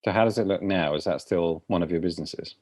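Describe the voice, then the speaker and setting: A male podcast host with a very deep, low voice. He keeps to short, polite questions and lets his guest do most of the talking. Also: quick pace, a little mellow. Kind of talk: podcast interview.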